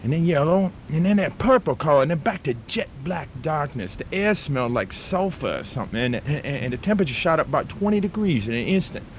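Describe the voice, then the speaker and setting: A man acting an Australian outback storyteller, put-on Aussie accent, stammering, lively melodic voice, radio comedy. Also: fairly high pitch, brisk, hardly any pauses, slight background noise. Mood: spooky storytelling. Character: rambling Aussie bushman spinning a spooky tall tale. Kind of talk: comic radio drama.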